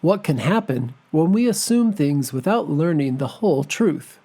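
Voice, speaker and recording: A man narrating a children's folktale and asking discussion questions, reading gently and expressively. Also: a little high, expressive, normal speed, slightly rough. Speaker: storyteller. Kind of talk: scripted children's story narration. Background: music.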